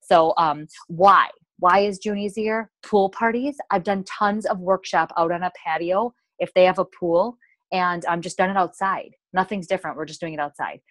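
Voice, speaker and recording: An American woman with a slightly low voice coaches her team on a casual call. She is enthusiastic and chatty, often wandering into asides. The sound is dry, with little room in it. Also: fairly even intonation, normal speed, a bit bright, dry recording. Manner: enthusiastic, peppy, rambling with asides. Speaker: sales team leader hosting a coaching call.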